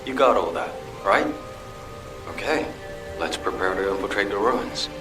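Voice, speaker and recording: An actor playing an earnest, caring and dutiful military medical corps commander in a video game. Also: male voice, lowish voice, lively intonation, measured pace. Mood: businesslike, concerned. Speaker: field officer. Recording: roomy, distant, music underneath.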